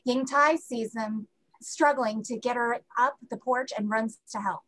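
A woman's voice: a little high, unhurried, fairly bright tone, poor audio. A woman narrating scripted stage directions for a play reading over a video call.

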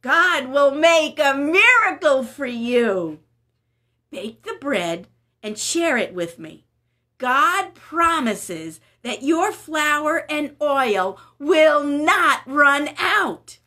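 A woman's voice: extremely high pitch, lively intonation, slow pace, pitched above the performer's own voice, exaggerated voice. A woman voicing a polite, hungry male prophet who politely asks for food and water in a storybook reading. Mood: reassuring.

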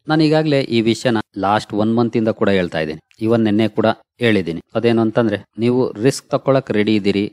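A man's voice: fairly low pitch, darkish tone, no echo. A man hosting a stock market channel, walking viewers through a news article shown on screen in a plain explaining manner. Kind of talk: screen-share explainer talk.

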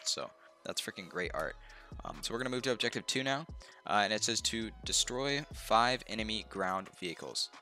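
Stuffed-up young man explaining a game. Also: sits low. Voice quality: nasal. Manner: relaxed, rambling, lots of ums and uhs. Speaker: gaming youtuber. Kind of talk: casual game walkthrough. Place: home room, indoors.

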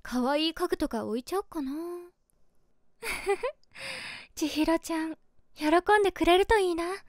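High-voiced, gentle, smitten streamer. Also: female voice, no echo, clean studio sound. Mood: eager, then wondering, lovestruck. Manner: feminine, gentle, musing to herself. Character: sociable, caring 27-year-old female streamer, secretly smitten. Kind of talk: Japanese voice drama monologue.

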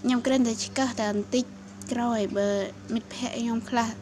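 Fast-talking woman, high-pitched, noisy recording. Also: steady tone, darkish tone. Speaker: monkey caretaker. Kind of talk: casual chat. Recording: clearly noisy home video recording.

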